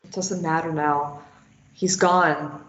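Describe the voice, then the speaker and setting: A woman's voice: low voice, steady tone, slow pace, a bit bright. A woman voicing a guilt-ridden, grieving former addict in a table read of a stage play, speaking in a bitter, subdued, self-blaming way. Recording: dull echoey room sound.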